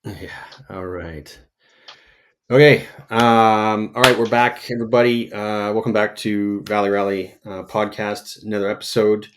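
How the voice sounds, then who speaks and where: A man's voice: fairly low pitch, flat delivery, measured pace, fairly bright tone. A man hosting a podcast in a laid-back, upbeat way, introducing and chatting with his guest. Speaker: podcast host. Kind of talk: casual podcast interview.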